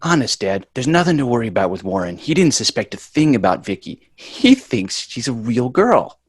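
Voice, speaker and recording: A man's voice: medium pitch, sing-song, normal speed, husky, no echo, studio quality. Man playing a bratty, swoopy-voiced sitcom boy. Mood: exasperated. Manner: brash, sarcastic. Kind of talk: sitcom table read.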